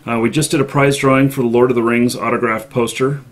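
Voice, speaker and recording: A man hosting a live show, chatting off the cuff with callers in a polite, halting and hesitant way. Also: mid-range voice, fairly even intonation. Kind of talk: unscripted call-in show chat.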